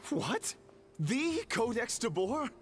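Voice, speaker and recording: Brief: an earnest young hero, animated storytelling tone. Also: male voice, very high-pitched, takes it slowly, soft background music, exaggerated voice. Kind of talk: game voice acting.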